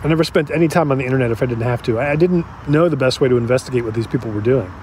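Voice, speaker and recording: An actor playing a regretful man confiding in an interviewer, in a halting, reflective, conversational way for an audio drama. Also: mid-range voice, a little mellow, slight background noise, close to an everyday voice. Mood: reflective, frank.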